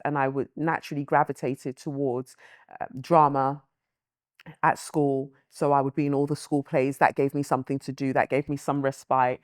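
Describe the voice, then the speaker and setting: A woman's voice: sits low, a little mellow, no echo. Thoughtful woman, dry close sound. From born in Nigeria, raised in London. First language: Yoruba. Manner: thoughtful, measured, with frequent "um" and "you know". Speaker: author and guest. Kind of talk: reflective interview answers.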